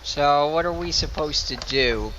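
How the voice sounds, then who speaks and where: A man's voice: a little high, unhurried, dull audio, noisy background. A man giving casual playthrough commentary as host of a gaming video. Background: game music.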